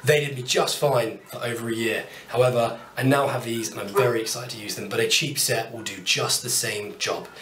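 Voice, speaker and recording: A man's voice: mid-range voice, steady tone, brisk, hardly any pauses, fairly bright tone, far from the mic. Chatty British man in an echoey workshop. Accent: British English. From United Kingdom. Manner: chatty, upbeat, self-deprecating asides. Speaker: woodworking YouTuber. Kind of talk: casual tool walkthrough to camera. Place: small home workshop, indoors. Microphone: camera mic at a distance. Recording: roomy workshop sound, voice off-mic.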